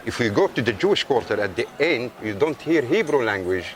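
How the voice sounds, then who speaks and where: A man's voice: mid-range voice, big pitch swings, a little fast. A man with an Arabic accent, a tour guide who speaks Arabic natively, walking visitors through a busy outdoor market and insistently pointing things out. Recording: loud street crowd behind.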